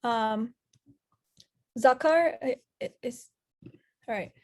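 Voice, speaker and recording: A woman's voice: on the high side, slow pace, a little mellow. A woman moderating an online meeting, brief and a little hesitant when calling on speakers. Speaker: meeting chair. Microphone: video call.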